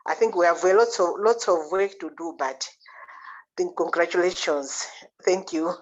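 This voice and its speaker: A woman health worker speaking in a measured, slightly hesitant way on a panel call. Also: fairly low pitch. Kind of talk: panel discussion on video call. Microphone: video call. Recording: dull video call audio.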